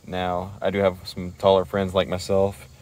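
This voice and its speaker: Deep-voiced man giving a casual walkthrough. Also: completely flat delivery, moderate pace, darkish tone. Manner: informal, explanatory, step-by-step. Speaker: DIY car video presenter.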